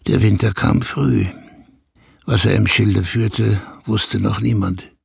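Radio drama narrator: burdened shepherd, sober, very hoarse-edged and gravelly, dry sound. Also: male voice, lowish voice, fairly even intonation, takes it slowly, dry recording, heavily acted. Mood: sober, reflective. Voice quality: creaky, very husky. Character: sober, burdened male shepherd recalling hard years. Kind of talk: first-person radio play narration.

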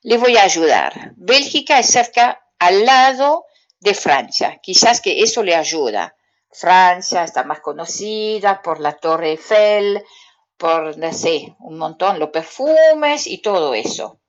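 A woman's voice: lowish voice, big pitch swings, slow pace, highs cut off. A woman from Belgium with a Belgian French accent, giving a playful French lesson to children on the radio and quizzing them herself. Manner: chatty, asks children riddle-like questions and answers them herself. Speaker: French teacher on community radio.

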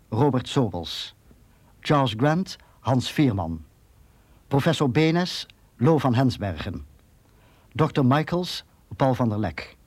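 Male announcer for radio drama, mid-pitched, formal deliberate delivery, close dry sound. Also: a little mellow, no echo. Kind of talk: scripted credits announcement.

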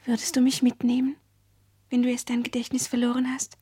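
A woman's voice: fairly high pitch, monotone, brisk, warm tone, husky, no room sound. An actress playing a dreamy, restless young girl in a radio play, wistful and pleading, often slipping into whispers. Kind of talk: 1970s German radio play acting.

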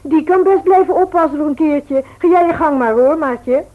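An actress playing a brisk, motherly middle-aged housewife in an old Dutch radio family serial. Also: sits high, close to an everyday voice. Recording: muffled old radio recording.

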